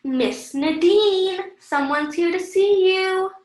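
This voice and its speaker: A kindly female caregiver played by a woman in a student radio play. The voice is high and gently reassuring, recorded in an echoing room. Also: very animated, unhurried, exaggerated voice. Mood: coaxing.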